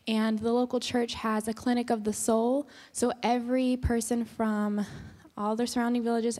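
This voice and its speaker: A woman's voice: on the high side, warm tone. A young woman in her twenties, a nursing student, speaking earnestly and steadily to a church congregation. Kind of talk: personal testimony. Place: church sanctuary. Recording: long church hall reverb.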